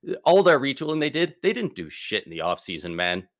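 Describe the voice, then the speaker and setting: A man co-hosting a casual sports podcast, excitable and slangy, speaking with emphatic bursts. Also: mid-range voice, a little fast, no room sound, clean studio sound. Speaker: podcast co-host.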